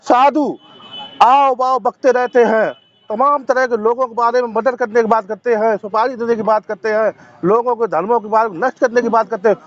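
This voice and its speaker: Male, high voice, emphatic activist interviewee, repetitive phrasing, dull lo-fi audio. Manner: assertive, emphatic, repeating phrases. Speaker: protester interviewed at a sit-in. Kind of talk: impassioned political and religious interview answers.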